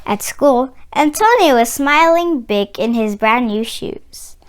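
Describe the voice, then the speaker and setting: A woman reading a children's picture book aloud as host, with expressive, dramatic and deliberate phrasing. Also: sits high, smooth. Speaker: read-aloud channel host, storyteller.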